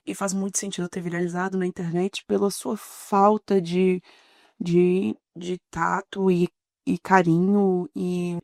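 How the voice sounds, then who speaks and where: A woman's voice: mid-range voice, vocal fry, mellow, dry recording, studio quality. A young woman with a Mineiro Brazilian accent, giving opinionated reaction commentary with firm explanations and hesitant restarts. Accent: Mineiro Brazilian Portuguese. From Minas Gerais, Brazil.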